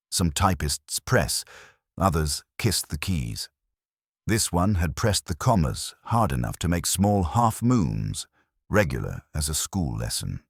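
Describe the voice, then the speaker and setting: Male solo narrator for detective drama: bass-deep, husky, dryly witty and formal, expressive intonation. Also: no echo. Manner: measured, dry, wry, formal delivery. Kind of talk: scripted literary narration of a mystery drama.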